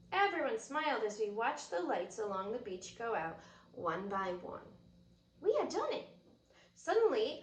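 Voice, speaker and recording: A woman reading a children's book aloud with expressive, storytelling delivery. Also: on the high side. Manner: expressive, drops to whispers at quiet moments. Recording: roomy echo, distant mic, faint noise.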